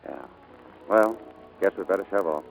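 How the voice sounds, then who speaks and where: An actor playing a breezy, cocky, footloose pilot in a 1940s radio drama, slangy and offhand. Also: male voice, low voice, moderate pace, vocal fry, soft background music, rough recording. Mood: fond, offhand. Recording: vintage 1940s radio broadcast.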